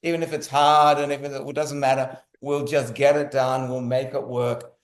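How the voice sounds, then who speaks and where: A man from Australia speaks with a mid-range voice in a reverberant room. He explains things casually as an interview guest, with emphasis and repetition to make himself clear. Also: mid-range voice, little pitch movement, moderate pace. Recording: roomy echo on the voice.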